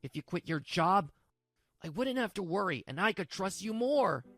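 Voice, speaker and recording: A woman's voice: medium pitch, expressive, normal speed, a bit bright, no room sound, faint music behind. A woman voicing a self-pitying, evasive young husband in a dubbed manga drama, stammering and pleading his excuses. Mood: defensive pleading.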